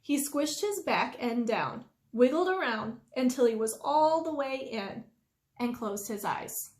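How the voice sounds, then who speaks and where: A woman reading a children's story aloud expressively, with deliberate, drawn-out emphasis. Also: fairly high pitch, animated. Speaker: storytime reader. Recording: roomy indoor echo.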